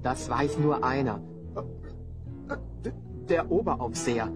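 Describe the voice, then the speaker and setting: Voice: medium pitch, measured pace, music in the background, close to an everyday voice. An actor playing a frightened captive slave man who stammers anxious warnings in a fantasy audio drama. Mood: hushed, anxious.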